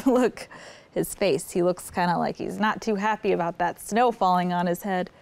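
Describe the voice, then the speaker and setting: A woman's voice: on the low side, moderate pace, gravelly, darkish tone, a little hiss or hum. A woman hosting story time, reading a picture book aloud expressively and putting simple questions to young listeners. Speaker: children's story time host.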